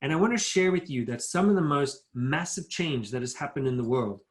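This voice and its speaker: A man's voice: medium pitch, lively intonation, fairly quick. Man, middle-aged, motivational speaker, emphatic, builds ideas in lists, video call audio. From South Africa. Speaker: guest speaker. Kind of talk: motivational talk at a virtual town hall.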